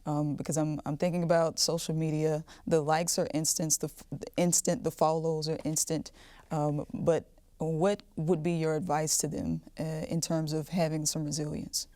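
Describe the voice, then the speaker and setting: A woman hosting a podcast interview, asking long, reflective questions in a calm way. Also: deep voice, normal speed, dry recording. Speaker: podcast host.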